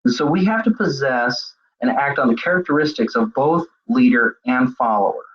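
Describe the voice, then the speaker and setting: Husky-voiced man giving a webinar lecture. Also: mid-range voice, lively intonation, normal speed, lo-fi sound. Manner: conversational, with frequent fillers and restarts. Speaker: web seminar presenter. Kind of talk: lecture on leadership communication.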